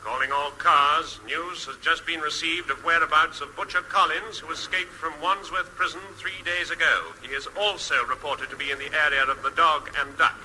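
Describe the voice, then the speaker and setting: Solemn police dispatcher, noisy radio. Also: male voice, on the high side, fairly even intonation, moderate pace, few pauses, pushed and bright. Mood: solemn, urgent. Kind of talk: formal announcement in radio comedy.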